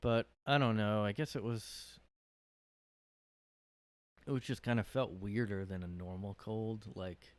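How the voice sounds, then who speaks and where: A man's voice: lowish voice, fairly even intonation, a little slow, long pauses, no echo, studio quality. A man hosting a casual solo podcast, rambling with lots of fillers and sounding worn out after a cold. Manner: rambling, hesitant, many fillers. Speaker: podcast host. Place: his own room.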